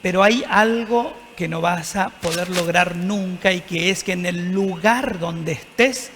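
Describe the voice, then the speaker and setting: A man's voice: high-pitched, a little slow, a bit bright, in a large hall, a little hiss or hum. A man with an Argentine accent, a priest speaking earnestly and reflectively in a TV panel discussion. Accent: Argentine Spanish.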